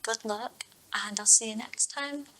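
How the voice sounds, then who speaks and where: A woman's voice: fairly high pitch, a little slow, pushed and bright, slight background noise, rough recording. A woman who speaks as an online tarot reader, talking through cards unscripted in a reflective, explanatory manner. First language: English. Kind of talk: unscripted tarot card reading to viewers.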